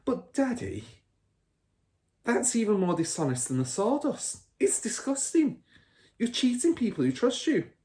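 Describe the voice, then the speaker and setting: A man's voice: very high-pitched, sing-song, a little slow, darkish tone, voice pushed up, exaggerated voice. A man voicing an earnest, indignant five-year-old girl in a storybook, protesting and outspoken.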